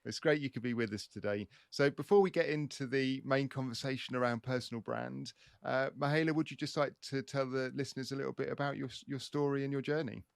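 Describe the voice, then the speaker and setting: A middle-aged British man speaks as a podcast host in a conversational way. His voice is mid-pitched with noticeable creak at the edges. The sound is close and dry, with hardly any room. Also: fairly even intonation, a little fast, no room sound.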